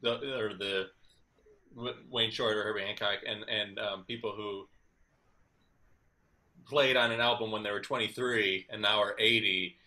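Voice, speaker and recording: A man in his thirties hosting a casual interview over a video call from his kitchen, asking rambling, animated questions. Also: on the low side, measured pace, pauses often. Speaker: host. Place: home kitchen. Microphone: video call webcam.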